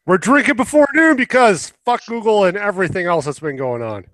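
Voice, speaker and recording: A man hosts a casual podcast with a very animated, swinging delivery. He is profane and sarcastic, given to big exaggeration. The sound is dry and close. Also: high voice, a little fast, dry recording. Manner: sarcastic, hyperbolic. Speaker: podcast host.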